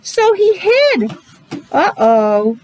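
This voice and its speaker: A woman, a teacher reading a picture book aloud to young children, pausing to explain and ask questions in simple words. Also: very high-pitched, big pitch swings, darkish tone, a little hiss or hum, poor audio. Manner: child-directed, asking questions, repeating words for emphasis. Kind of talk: animated read-aloud with casual explanatory asides.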